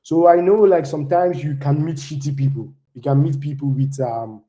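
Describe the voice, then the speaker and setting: Young nigerian guy giving a demo talk, mellow warm voice, loose and chatty, says "right?" all the time. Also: on the high side, normal speed. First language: a Nigerian language. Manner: loose and conversational, tags "right?" often. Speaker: conference speaker.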